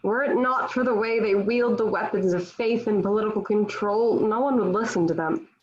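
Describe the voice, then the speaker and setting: The sound is dull and lo-fi. A woman acts out a young female warrior in captivity, devout and unbowed. She declaims in solemn, formal lines at a medium pitch, as in a historical stage play. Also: moderate pace, slight background noise, lightly acted. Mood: scornful, defiant. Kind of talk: table-read stage acting of historical drama. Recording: dull, lo-fi home online recording.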